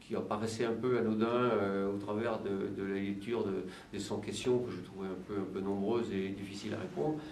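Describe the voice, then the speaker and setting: A man giving measured interview answers as a local official, halting and searching for words. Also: on the low side, steady tone, darkish tone, a little hiss or hum. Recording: roomy, distant mic, music behind. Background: music.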